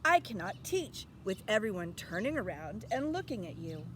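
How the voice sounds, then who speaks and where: A woman voicing a stern, exasperated male schoolteacher from a storybook, loud, scolding and emphatic. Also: medium pitch, big pitch swings, moderate pace, a little hiss or hum.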